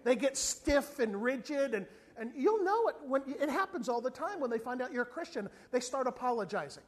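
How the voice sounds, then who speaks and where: A man's voice: very high voice, fairly quick. A man preaching a sermon as an evangelist, telling a funny story and briefly acting out the people in it. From not from South Africa. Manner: animated storytelling, acts out other people's voices.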